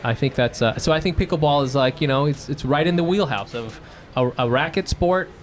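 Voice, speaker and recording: A man's voice: a little high, brisk. A man co-hosting a podcast, chatting casually and joking, often laughing. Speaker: podcast co-host. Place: live show with audience.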